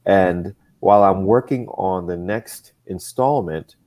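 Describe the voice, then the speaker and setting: Man, very low register, thoughtful comics creator who talks haltingly with restarts. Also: a little mellow. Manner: halting, restarts often, measured. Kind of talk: casual discussion.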